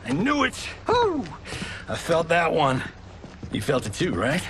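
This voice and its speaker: An actor playing a cocky, flamboyant action-movie star in a video game cutscene, breezy and boastful. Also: male voice, on the high side, wildly swooping pitch, a little slow. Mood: upbeat. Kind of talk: cinematic game cutscene acting.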